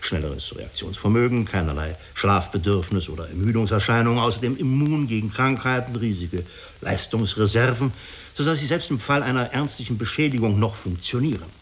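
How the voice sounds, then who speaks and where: A man playing a diplomatic, persuasive agency official in a German radio drama, speaking formally and deliberately with small hesitant pauses. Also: lowish voice, close to an everyday voice. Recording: clearly hissy radio recording.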